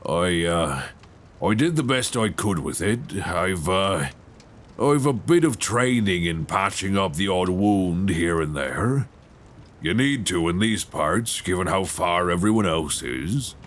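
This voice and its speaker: A man playing a gentle but probing, folksy 19th-century lighthouse keeper in an intimate ASMR suspense roleplay, soft-spoken, halting and reassuring, close on a binaural mic. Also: expressive. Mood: calm, probing. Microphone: close binaural microphone. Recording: faint low rumbling background noise.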